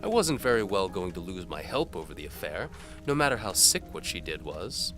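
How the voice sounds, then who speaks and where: A man putting on a haughty, high-pitched noblewoman. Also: very animated, fairly quick, music in the background. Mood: dismissive. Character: haughty medieval noblewoman, melodramatic and callous.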